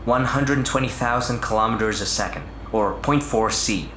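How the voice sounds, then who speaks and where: A man's voice: medium pitch, normal speed, fairly bright tone, natural voice. A man playing a precise, literal-minded spaceship crewmate, speaking matter-of-factly in a scripted audio drama. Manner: matter-of-fact, exacting. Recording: roomy echo, faint background noise.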